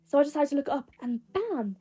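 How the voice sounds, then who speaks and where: A woman voicing a hyperactive, excitable teenage boy who gushes and exclaims in an amateur audio story. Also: high voice, fairly quick, faint music behind, voice pushed up. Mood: eager, insistent. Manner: gushing, exclamatory.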